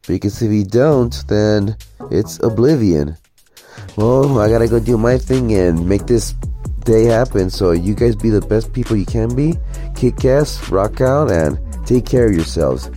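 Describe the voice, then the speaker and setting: Guy ranting casually, kinda low mellow voice, sarcastic and blunt, music behind him. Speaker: commentary vlogger. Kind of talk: casual opinionated monologue commentary.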